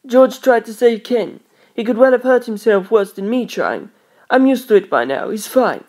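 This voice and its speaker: A woman's voice: on the high side, animated, normal speed, darkish tone, voice pushed up. Woman as an easygoing, frank teenage boy. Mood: frank, unbothered. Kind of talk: audiobook character voice.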